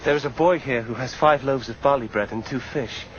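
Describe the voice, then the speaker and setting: A man's voice: medium pitch, a little fast, close to an everyday voice. An actor playing a doubtful male disciple in a scripture film. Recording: muffled film soundtrack, faint noise.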